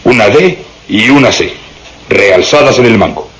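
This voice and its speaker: Un actor interpretando a un inspector de policía insistente y triunfal, de hablar declamatorio, en una radionovela. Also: male voice, lowish voice, lively intonation, unhurried, a bit bright. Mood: confident, triumphant. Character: insistent, triumphant male police inspector, sure of himself. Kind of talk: radio drama acting.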